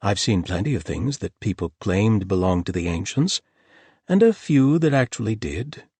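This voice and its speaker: A man voicing a wary, dry-witted traveling doctor who speaks in a measured, guarded way, with a husky voice. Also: on the low side, animated, darkish tone, dry recording.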